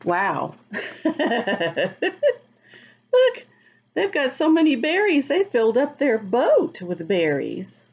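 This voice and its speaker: A woman leads a storytime for young children at an unhurried pace. Her pitch moves up and down in a lively, sing-song way as she reads and explains like a teacher. The recording sounds a little dull and lo-fi. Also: breathy edge. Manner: teacherly, explains words and asks questions; expressive, sing-song on the rhymes. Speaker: picture-book reader for children. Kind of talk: read-aloud storytime with asides to children.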